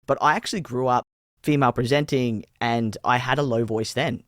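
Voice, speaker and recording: Low-voiced Australian host, dry close sound. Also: male voice, steady tone, a little fast, no echo. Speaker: podcast host. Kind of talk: casual interview chat.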